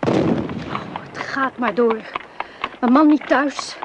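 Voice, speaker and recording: A woman's voice: high voice, slow, highs cut off. An actress playing an earnest, anxious, dutiful young married woman in a Dutch radio play, speaking with worried restraint. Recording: noisy old radio play recording.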